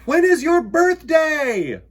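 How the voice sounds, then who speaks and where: An eager, innocent storybook bear, put on by a man, pitched far up high. The bear is childlike and excitable, calling out in swooping, drawn-out phrases. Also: full character voice.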